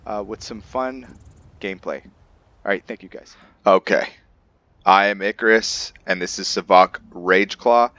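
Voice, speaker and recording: A man hosting a gaming video, giving casual, halting commentary in an informal, rambling way. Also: on the low side, a bit bright, clear, resonant tone, dull audio. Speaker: gaming channel host. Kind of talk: casual gameplay commentary introducing a roleplay character.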